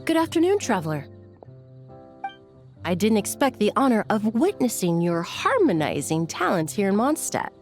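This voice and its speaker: A woman's voice: mid-range voice, big pitch swings, moderate pace. An actress playing a refined, courteous young woman in a video game, speaking with polite, precise formality. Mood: gracious. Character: refined, courteous young female perfumer with discerning tastes. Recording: faint music behind the voice. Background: faint game music.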